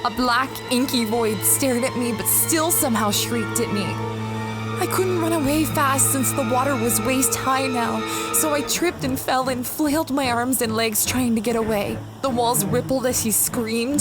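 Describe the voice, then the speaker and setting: A woman's voice: fairly high pitch, moderate pace, over loud music, lightly acted. A woman playing a space explorer who recounts a strange expedition in a conversational, first-person audio drama style. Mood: tense, uneasy. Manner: reflective, conversational storytelling.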